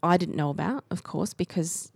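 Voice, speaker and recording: A woman with an Australian accent co-hosting a book podcast, giving steady, informative background with frequent "um"s. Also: fairly low pitch, normal speed, creaky, husky, dry recording. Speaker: podcast co-host. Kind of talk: casual book chat.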